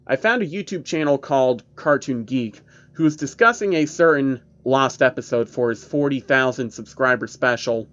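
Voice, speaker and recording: A man's voice: medium pitch, moderate pace, a bit bright, muffled recording. A young man reading a scripted first-person horror story as its narrator. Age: young adult.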